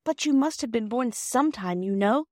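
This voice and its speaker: A chatty little storybook girl acted out by a woman. She is high in pitch, curious and eager to explain, in a dry close recording. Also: lively intonation, a little fast, dry recording. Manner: inquisitive, breathlessly explanatory.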